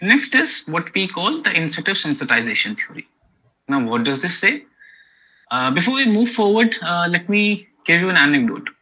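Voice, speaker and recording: Bright, husky Indian-accented male lecturer. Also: high-pitched, sing-song, normal speed. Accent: Indian English. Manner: explanatory, many okays and you-knows. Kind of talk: academic lecture. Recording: dull lo-fi recording.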